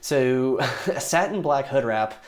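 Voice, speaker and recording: A young man with a hoarse, worn voice talks straight to his viewers in a casual, rambling way. He sits at an ordinary middle pitch and gets keen and excited as he goes. He keeps restarting his sentences and filling gaps with little fillers. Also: slow pace. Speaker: YouTube car reviewer. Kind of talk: casual explainer talk.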